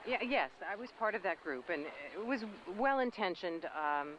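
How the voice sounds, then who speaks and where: An actor playing a disgruntled superhero who answers interview questions hesitantly, with dry, sardonic candour. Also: male voice, high voice, animated, moderate pace. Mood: reluctant, sardonic. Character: disgruntled, awkwardly candid male superhero ex-teammate. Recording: dull, hissy old interview tape.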